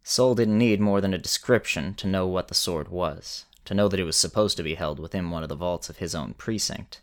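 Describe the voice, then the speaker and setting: A man narrating a scripted story in a measured way with dramatic pauses. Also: low voice.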